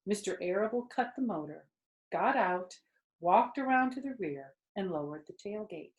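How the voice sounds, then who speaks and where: A woman's voice: medium pitch, lively intonation, normal speed, muffled recording. A woman reading a children's story aloud expressively, with conversational asides to her listeners. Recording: roomy echo.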